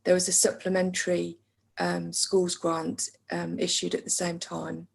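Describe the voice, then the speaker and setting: Husky, gravelly woman briefing a meeting. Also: lowish voice, monotone. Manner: measured, with frequent hesitation fillers. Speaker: council finance officer. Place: council meeting.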